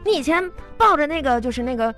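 A woman's voice: sits high, lively intonation, quick pace, a bit bright, faint music behind. A woman playing a blunt, down-to-earth married friend, baffled and teasing, in a live comedy radio drama. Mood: dry, teasing. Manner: blunt, incredulous, folksy teasing.

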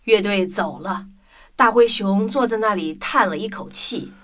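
A woman's voice: mid-range voice, unhurried, heavy background noise. A woman narrating a children's story in a scripted storytelling style. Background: music.

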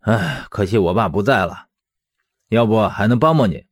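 Man acting blunt, hot-tempered young ex-cop with lively swings. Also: on the low side, moderate pace. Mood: earnest. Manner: blunt, indignant, swearing. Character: hot-tempered, righteous young ex-auxiliary policeman, blunt and aggrieved.

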